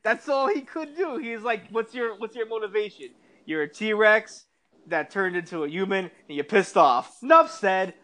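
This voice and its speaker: High-pitched man bantering casually. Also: moderate pace. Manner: animated slangy banter with swearing. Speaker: podcast co-host. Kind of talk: casual chat about movies.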